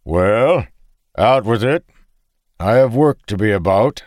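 A gruff, stout farmer, as a male narrator puts him on, deep-voiced and condescending. He talks loudly and bluntly, with a lively, swinging delivery in a dry, close sound. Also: slow pace, dry recording. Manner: brusque, loud, fatherly.

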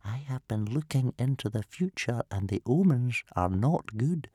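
A man voicing a wheezy, ancient Viking grandfather who speaks in gloomy, hushed tones. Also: medium pitch, expressive, moderate pace, husky, no echo, clean studio sound, pitched above the performer's own voice.

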